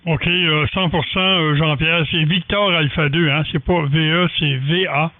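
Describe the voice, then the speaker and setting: A man's voice: on the high side, fairly even intonation, unhurried, no echo, narrow, tinny audio. A man in his sixties with a Quebec French accent, chatting casually as an amateur radio operator. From Canada, Quebec. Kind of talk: casual ham radio chat. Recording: thin, dry band-limited radio-style audio.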